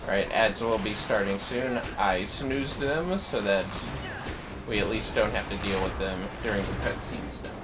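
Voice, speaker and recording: A man's voice: lowish voice, animated, normal speed, heavy background noise. A man livestreaming a video game, rambling casually and half talking to himself and his viewers. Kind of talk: casual gaming livestream chat, muttering to self and viewers. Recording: game music behind the voice. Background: video game music.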